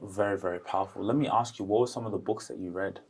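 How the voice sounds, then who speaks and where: A man's voice: low voice, quick pace, darkish tone, breathy edge. A man hosting a podcast interview, friendly and complimentary toward his guest. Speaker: podcast host.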